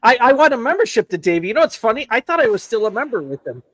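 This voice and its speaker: A man's voice: extremely high pitch, big pitch swings, very fast, fairly bright tone, no echo. A man co-hosting a casual horror podcast, chatty and easygoing, a bit sniffly from allergies. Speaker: podcast co-host.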